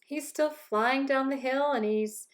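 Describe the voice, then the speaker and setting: Slow, high-voiced woman reading a children's story. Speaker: storybook reader. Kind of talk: children's read-aloud narration.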